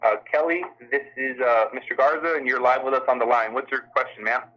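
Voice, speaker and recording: A man's voice: mid-range voice, fairly even intonation, a little fast. A man moderating a live question-and-answer call as an official, speaking in a courteous, formal and somewhat hesitant way. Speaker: call-in town hall moderator and health system official. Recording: dull telephone conference line.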